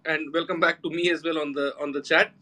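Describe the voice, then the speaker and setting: A man's voice: a little high, little pitch movement, brisk, over music. A man hosting a live podcast chat, welcoming guests and asking questions while repeating words and restarting his phrases often. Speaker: podcast host.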